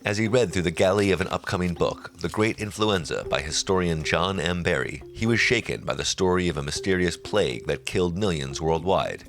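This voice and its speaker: A man reading scripted documentary narration in a measured, serious manner. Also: sits low, animated.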